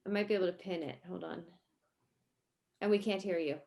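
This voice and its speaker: A woman's voice: on the low side, fairly even intonation, brisk, gravelly, darkish tone. A woman talking casually in a friendly group chat, as the one leading the discussion.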